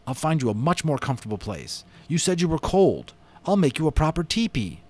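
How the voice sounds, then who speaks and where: Man acting as young boy, eager, friendly, earnest, storybook reading, raised animated pitch. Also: fairly quick. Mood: excited. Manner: enthusiastic, earnest.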